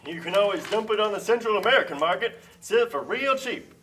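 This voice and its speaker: A man voicing a pompous puppet-show pitchman for free trade, lecturing smugly like a salesman. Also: lively intonation, fast, a bit bright, far from the mic, slight background noise. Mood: smug, promoting. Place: community TV studio.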